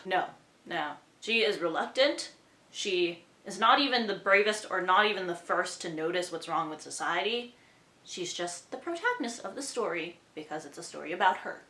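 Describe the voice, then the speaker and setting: A woman's voice: mid-range voice, pushed and bright. A young woman giving a casual, chatty book review, speaking enthusiastically and conversationally. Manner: enthusiastic, conversational, asking rhetorical questions. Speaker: book reviewer hosting her own channel. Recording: roomy indoor echo.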